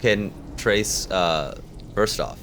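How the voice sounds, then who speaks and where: A man's voice: on the low side, drawn-out words, fairly bright tone, slight background noise. A man playing a tabletop fantasy game, chatting casually as he works out his moves.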